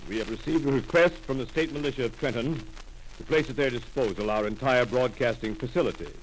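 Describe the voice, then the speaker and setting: A man's voice: mid-range voice, a little fast, close to an everyday voice. A man playing a grave network executive. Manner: formal, grave. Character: formal male broadcasting executive. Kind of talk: 1930s radio drama acting. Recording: scratchy 1930s radio recording.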